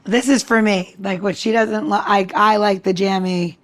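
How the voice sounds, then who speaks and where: A woman's voice: medium pitch, steady tone, moderate pace. A woman co-hosting a podcast in casual chat, talking in a very informal, chatty and emphatic way. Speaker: podcast co-host.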